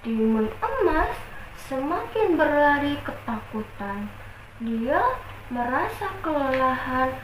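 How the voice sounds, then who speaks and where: A young woman student telling a folk tale in a dramatic, exclamatory way, with drawn-out cries of wonder. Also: sits high, reverberant, slight background noise, rough recording. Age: young adult. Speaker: student storyteller. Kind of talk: animated folk tale storytelling.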